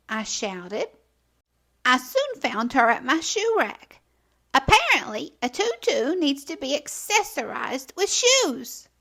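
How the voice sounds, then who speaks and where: High-pitched, animated woman storyteller. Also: creaky. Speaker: children's storytime host and narrator. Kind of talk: expressive read-aloud storytelling for young children.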